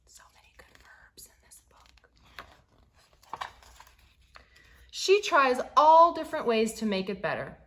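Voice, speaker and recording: A woman reading a children's picture book aloud in an expressive, deliberate way, stressing key words. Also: high voice.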